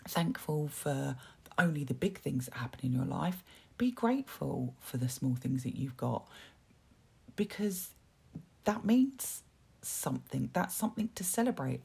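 A woman hosting a motivational self-help podcast, talking earnestly with a hoarse, dry voice, repeating words and using fillers as she goes. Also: a bass voice, a little mellow. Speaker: podcast host.

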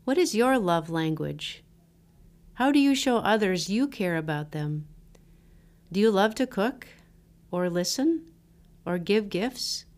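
A woman pastor reads scripture and a reflection in a scripted devotional style, with measured, deliberate phrasing. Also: medium pitch, expressive, darkish tone.

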